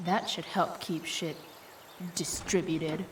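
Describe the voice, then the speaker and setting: A rebellious teenage girl in a game drama, sarcastic and blunt. Her lowish voice sounds set back from the mic, with clear noise behind it. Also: fairly even intonation, slow, recorded from a distance. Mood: wry, focused. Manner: sardonic, blunt. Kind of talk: naturalistic video game drama acting, muttering to herself.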